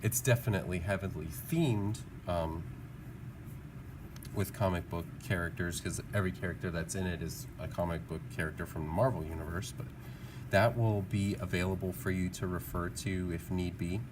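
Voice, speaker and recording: A man's voice: sits low, fairly even intonation, moderate pace. A man giving a casual drawing tutorial, thinking aloud in an informal, encouraging, musing way as an art teacher. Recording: clearly audible background noise.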